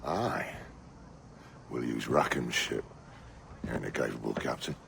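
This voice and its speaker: A gruff bloodthirsty pirate captain for a game, super deep n croaky, salty and sardonic. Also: male voice, unhurried, a bit bright, a little hiss or hum, exaggerated voice. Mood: conspiring. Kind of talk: dramatic video game acting.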